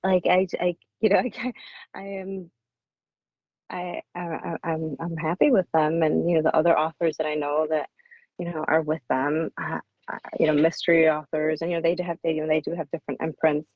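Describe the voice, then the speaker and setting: A woman speaking as an author guest in a casual interview, effusive and often halting and restarting her sentences. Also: low voice, level tone, no echo. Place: small cabin in the woods. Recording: dull lo-fi audio.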